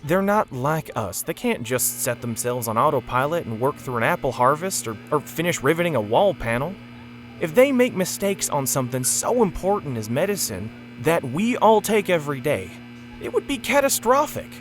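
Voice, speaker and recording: An actor playing an earnest, loyal working stallion and parent in an audio drama, reasoning patiently. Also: male voice, on the high side, big pitch swings, normal speed, soft background music. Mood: earnest, insistent.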